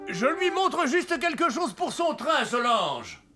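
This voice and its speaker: A man's voice: very high voice, huge pitch swings, slow pace, a little hiss or hum, full character voice. A man voicing an old, childishly enthusiastic Santa Claus in a French children's cartoon, jovial and theatrical. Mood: sheepish. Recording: loud music behind the voice. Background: loud music.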